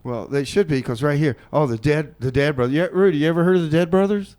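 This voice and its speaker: A man hosting a community radio show in a casual, improvised style, often halting as he talks. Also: a little high, darkish tone, clear, resonant tone.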